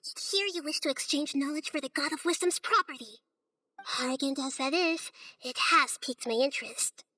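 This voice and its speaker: Casting: shrewd little goddess of wisdom, high bright voice, calm, articulate, firm game delivery. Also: female voice, fairly quick, dry recording. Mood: guarded, curious. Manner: poised, articulate, quietly firm. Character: small, childlike female god of wisdom, calm and shrewd. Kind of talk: video game cutscene acting. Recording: studio voice over game music.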